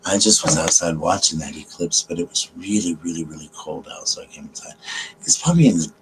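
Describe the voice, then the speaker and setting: A man hosting a late-night live ASMR chat, talking low and slowly with a deep voice and many ums as he greets viewers by name. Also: slight background noise, rough recording. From South Carolina.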